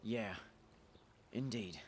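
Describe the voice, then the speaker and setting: A man's voice: lowish voice, extremely slow, a little hiss or hum. A man playing a stern, cautious fantasy adventurer in a video game, speaking formally and curtly. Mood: sceptical, curt. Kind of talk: dramatic video game dialogue.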